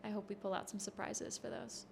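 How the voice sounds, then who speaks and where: A woman in her thirties being interviewed backstage, answering in a relaxed, rambling way and laughing easily. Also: mid-range voice, fairly quick, vocal fry, noisy background. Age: 30s. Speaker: touring bassist guest. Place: backstage at a concert venue.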